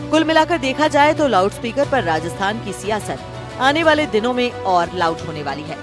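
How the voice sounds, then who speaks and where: A woman reading a scripted television news report as a voice-over. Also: medium pitch.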